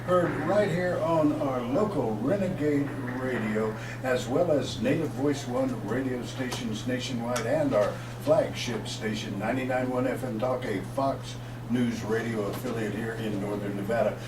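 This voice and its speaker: A man hosting a community talk radio show with energetic, promotional delivery, reading out phone numbers and introducing guests. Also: medium pitch, moderate pace. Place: radio booth set up in a shopping mall. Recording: roomy, distant mic, noisy.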